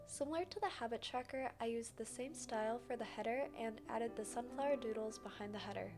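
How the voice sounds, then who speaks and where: A young woman with a fairly high voice narrates a craft video in a chatty, scripted voice-over. She sounds like an online creator talking viewers through a project. Music plays clearly behind her. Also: fairly quick. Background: background music.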